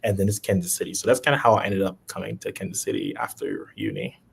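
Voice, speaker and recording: Guest: relaxed Haitian man in his late 20s, husky low voice. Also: quick pace. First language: Haitian Creole. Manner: relaxed, with frequent ums. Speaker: podcast guest. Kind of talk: casual chat.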